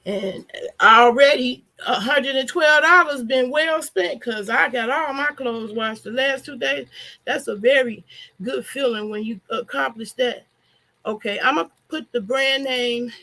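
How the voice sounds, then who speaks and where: A woman vlogger with a hoarse, nasal voice and a gravelly edge, pitched a little high. She talks to her viewers in a loose, frank and chatty way, drifting from one thing to the next. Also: unhurried, sharp tone. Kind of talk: casual chat to a live audience.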